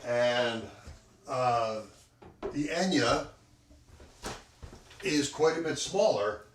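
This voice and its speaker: A man reviewing an acoustic guitar in a relaxed, rambling way, with lots of fillers and a loud voice. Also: mid-range voice, very slow, slight background noise. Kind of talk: casual product review with playing. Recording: echoey room, guitar playing behind.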